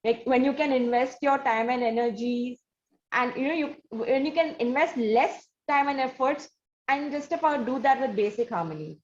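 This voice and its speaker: Dull lo-fi audio, faint noise, woman, slightly high voice, halting roundabout interviewer. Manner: halting, wordy, roundabout questioning. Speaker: interviewer, host. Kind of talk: conversational interviewing. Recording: dull, lo-fi, noisy connection.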